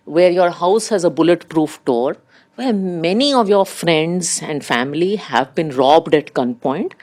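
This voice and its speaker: A middle-aged woman with an Indian accent, a native Urdu speaker, talks as an interview guest. Her voice sits slightly low but moves through a very lively range. She tells stories conversationally and animatedly, slipping into quoted remarks. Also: measured pace. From India, raised abroad in diplomatic postings. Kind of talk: conversational personal storytelling.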